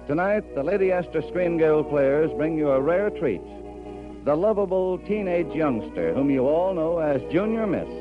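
A man's voice: fairly high pitch, expressive, moderate pace. A man announcing a 1940s radio show with formal, showmanlike scripted delivery. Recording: muffled old radio broadcast. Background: music.

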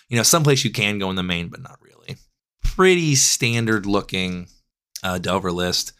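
Male podcast host, hoarse and raspy from a cold, slightly low voice, loose self-correcting delivery. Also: fairly bright tone. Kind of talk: casual deck analysis.

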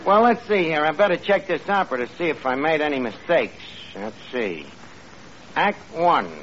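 A brash New York tavern manager who puts on highbrow airs in slangy, mangled grammar. The voice sits high and swings about freely. It is vintage radio comedy, with a noisy studio audience behind it. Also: male voice, lively intonation, moderate pace, fairly bright tone, highs cut off, some chatter behind. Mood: pompous, slangy. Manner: slangy, ungrammatical, blustering. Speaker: comedy show lead. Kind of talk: 1940s-50s radio comedy acting.

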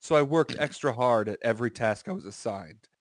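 A man's voice: mid-range voice, animated, a little fast, no echo, studio quality. An American man hosting a podcast, giving a comic dramatic reading of a story and breaking off into casual asides.

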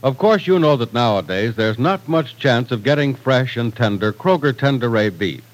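A man's voice: mid-range voice, expressive, moderate pace, soft background music, poor audio. A man with an American accent announcing in polished, salesmanlike mid-century radio style.

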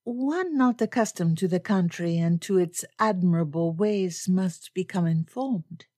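A woman's voice: medium pitch, warm tone, dry recording, studio quality, lightly acted. A woman voicing a poised, grave young foreign lady in an audiobook, with quaint formal phrasing and a subtly teasing manner.

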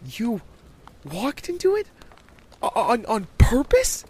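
A man playing a curious, stubborn, sheltered young girl in a narrated story, with halting, defiant delivery. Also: high voice, sing-song, warm tone, slightly rough, pitched above the performer's own voice, exaggerated voice. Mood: amazed, questioning.

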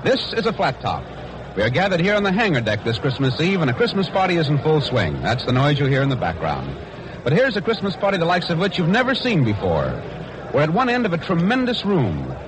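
An American man reports on location in 1940s radio style. His voice sits a little high and moves up and down in an animated way. The old broadcast has clear noise around him. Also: fairly quick. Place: aircraft carrier hangar deck.

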